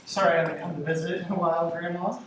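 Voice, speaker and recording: A man in his twenties playing an anxious grandson in a stage monologue, talking in a halting, conversational, self-deprecating way. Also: high voice, steady tone, lightly acted. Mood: sheepish, tender. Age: 20s. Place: stage in a theater, recorded from the audience. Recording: echoey theater, distant mic, faint noise.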